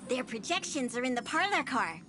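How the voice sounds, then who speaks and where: An extremely high, squeaky little game creature, childlike and excitable. It exclaims devotedly like an eager small conductor, with loud music behind it. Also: female voice, brisk. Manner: speaks of itself in the third person, exclaiming. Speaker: train conductor. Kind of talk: anime game character acting.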